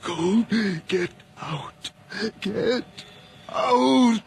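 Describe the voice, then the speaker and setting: A man playing a dying, possessed man in a radio horror drama, speaking in strained, broken, halting fragments. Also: high-pitched, animated, vocal fry, full character voice. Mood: tormented, delirious. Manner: halting, disjointed bursts. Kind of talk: 1980s radio horror acting.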